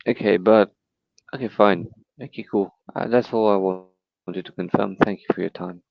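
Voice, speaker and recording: A man's voice: lowish voice, fairly even intonation, normal speed, creaky, no room sound, muffled recording. A man from Pakistan calling in to a debate stream, asking pointed, challenging questions. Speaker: caller.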